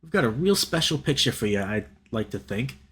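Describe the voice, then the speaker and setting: A man's voice: medium pitch, very animated, fairly quick, a bit bright, breathy edge, exaggerated voice. A man voicing a nervous, earnest young otter filmmaker in a visual novel, with a hesitant, folksy manner. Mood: nervous, announcing.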